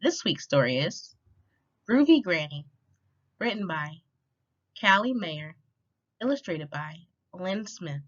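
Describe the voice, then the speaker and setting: Female storytime reader, expressive rhyming picture-book delivery, animated intonation, slightly muffled low-fidelity recording. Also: slow, long pauses. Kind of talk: children's picture book read-aloud. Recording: dull lo-fi sound.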